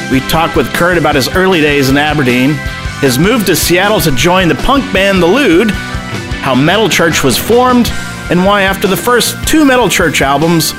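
A man hosting a music podcast, reading a scripted intro and then asking friendly, loose interview questions. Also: on the high side. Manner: friendly, loose, light laughs. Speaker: podcast host.

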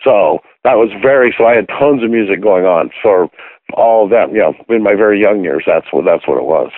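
A man's voice: on the low side, fairly quick, dry recording. A man from Washington State, a musician and producer, chatting casually in a phone interview, rambling and correcting himself as he goes. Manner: relaxed, rambling, self-correcting. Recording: tinny phone line, dry.

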